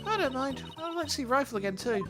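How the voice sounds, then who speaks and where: A man voicing a sweet, earnest young girl in a video game, speaking softly and politely. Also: very high-pitched, big pitch swings, quick pace, music in the background, voice pushed up.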